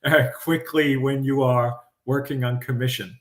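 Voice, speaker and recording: A man's voice: mid-range voice, fairly even intonation, unhurried, roomy sound. A middle-aged Danish man with a Danish accent, a guest speaking in a livestreamed interview, thoughtful and full of fillers and restarts. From Denmark. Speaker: guest executive.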